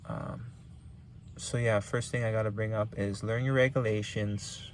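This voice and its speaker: A man's voice: fairly low pitch, steady tone, unhurried, a little hiss or hum. A young man from Hawaii with a Hawaiian accent, giving casual, rambling business advice with lots of ums and repeats.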